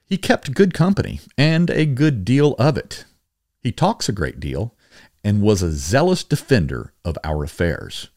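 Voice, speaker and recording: A man with a slightly low voice reads old correspondence as a formal podcast narration. His delivery is measured and oratorical, with wide, animated rises and falls in pitch. The recording is dry and close, free of room sound. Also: no room sound. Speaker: podcast host reading historical letters aloud. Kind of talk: formal scripted reading of 18th-century letters.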